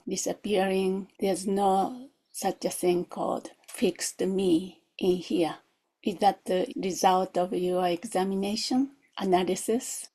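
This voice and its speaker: Female meditation teacher. Slow, reflective, questioning delivery in short phrases; soft-edged, mellow tone with a creaky grain. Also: medium pitch. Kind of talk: guided meditation, gentle instruction.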